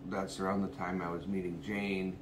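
A man, telling his background in a halting way with many ums. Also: low voice, level tone. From Minnesota, USA. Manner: halting, lots of ums and restarts. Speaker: co-host, massage therapist. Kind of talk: casual personal story to camera. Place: home kitchen, indoors, small room. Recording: echoey room with background noise.

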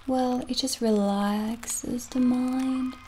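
A woman in an ASMR roleplay playing a gentle, friendly witch who keeps a potion shop, speaking softly and soothingly without hurry. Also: high-pitched, fairly even intonation, slight background noise. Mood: calm, friendly. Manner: soft, unhurried, soothing. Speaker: ASMR performer. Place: small dim candlelit room, indoors. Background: soft music.

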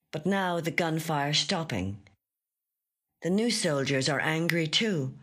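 A woman actor reads a literary short story in a dramatic, measured and deliberate narrating style, recorded in a studio. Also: low voice, fairly bright tone. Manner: measured, deliberate, repeating phrases for weight.

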